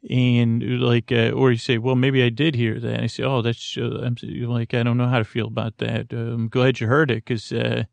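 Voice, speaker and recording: Creaky-voiced man rambling drowsily. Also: medium pitch, flat delivery, no room sound, muffled recording. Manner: meandering, halting, many restarts and fillers; drowsy, soothing delivery. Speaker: sleep podcast host. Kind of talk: rambling late-night monologue.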